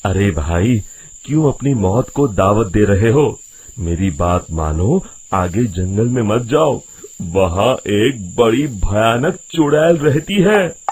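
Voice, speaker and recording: A man playing an anxious village man in a dramatised story, with dramatic, exclaiming delivery and a husky voice. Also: fairly low pitch, expressive, slow pace, a little mellow, full character voice. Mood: pleading. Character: anxious village men, cart driver and shopkeeper. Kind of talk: dramatised Hindi story acting.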